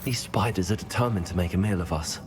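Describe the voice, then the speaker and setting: A man's voice: low voice, fast talker, music in the background, lightly acted. A man playing a level-headed, earnest teenage schoolboy wizard in a video game, with a British accent and a reasoning manner. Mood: measured. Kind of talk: video game character acting.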